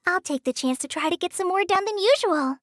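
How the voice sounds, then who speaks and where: A woman's voice: very high-pitched, lively intonation, a little fast, dry recording, studio quality. A woman voicing a shy young female forest ranger apprentice in a game, talking hesitantly with sighs and apologies. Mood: gentle. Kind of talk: game character voice lines. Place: studio booth.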